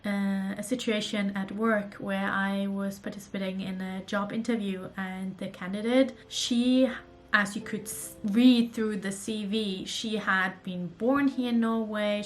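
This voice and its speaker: A woman from Germany with a German accent, talking earnestly and thoughtfully to camera about books in a casual vlog. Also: medium pitch. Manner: earnest, thoughtful, with small restarts. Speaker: book vlogger.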